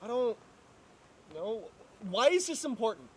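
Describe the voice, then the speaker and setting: Man playing an anxious, high-voiced, exasperated twin brother. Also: sing-song, unhurried, slight background noise, heavily acted, low-quality recording. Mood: defensive, confused. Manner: indignant, sarcastic. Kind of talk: amateur dramatic script reading.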